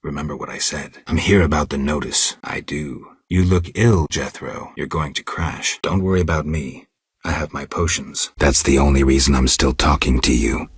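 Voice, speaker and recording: Gruff stoic monster hunter for a fantasy game, super low raspy voice, deadpan and terse. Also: male voice, normal speed, no room sound, clean studio sound, full character voice. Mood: quietly menacing, dry. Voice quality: gravelly, husky low voice. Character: stoic gruff adult male monster hunter, wry and world-weary. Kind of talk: video game character dialogue.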